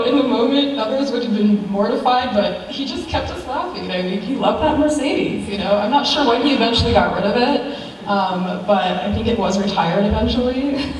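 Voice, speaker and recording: A young adult American woman giving an informal, conversational eulogy with personal stories, recorded from a distance in a church. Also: medium pitch. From United States. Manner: conversational, chuckling through anecdotes. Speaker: niece giving a funeral tribute. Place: large church sanctuary, at a pulpit. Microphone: far camera. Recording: very echoey church hall, distant mic, faint noise.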